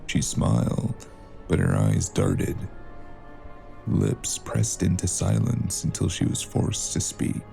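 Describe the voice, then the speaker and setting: An actor playing a brooding narrator of a noir audio drama, reading scripted narration in a measured way. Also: male voice, low-pitched, big pitch swings, creaky, dark tone, faint music behind, heavily acted.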